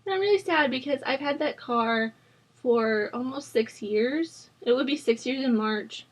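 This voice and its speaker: A young woman in her twenties talks in a husky, hoarse voice that sits a little high. She rambles in easy, chatty asides, full of fillers and little self-conscious laughs, like a relaxed voice-over at a home desk mic. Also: a little slow. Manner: rambling, chatty, many ums and likes, laughs at herself. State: hoarse from a sore throat. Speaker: Sims building channel host. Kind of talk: casual voice-over chat during a speed build.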